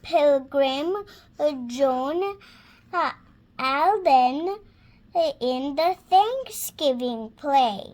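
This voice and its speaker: A woman's voice: high-pitched, lively intonation, drawn-out words, a little mellow. A six-year-old girl reading a children's storybook aloud, hesitant and sounding out words as she practices. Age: child, six years old.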